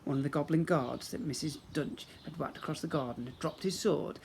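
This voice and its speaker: A man's voice: a little high, fairly quick. Man reading a storybook, noisy background. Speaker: teacher reading a storybook to young children. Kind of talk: expressive storybook narration. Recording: clear background noise.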